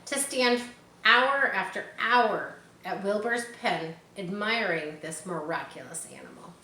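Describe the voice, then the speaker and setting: A woman's voice: medium pitch, a little slow, pushed and bright. A woman reading a children's book aloud, dropping in informal asides. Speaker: storybook reader. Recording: roomy echo, faint background noise.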